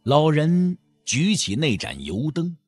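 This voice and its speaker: A man narrating an audio drama with expressive, literary, scripted storytelling. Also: a little high, takes it slowly, slightly rough, no echo, clean studio sound.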